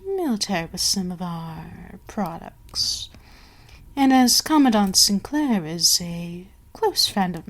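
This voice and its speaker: A woman playing a commanding vampire queen in an ASMR audio roleplay, speaking in a measured, formal way with soft whispery lapses. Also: mid-range voice. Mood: poised, businesslike. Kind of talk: slow, breathy ASMR roleplay monologue.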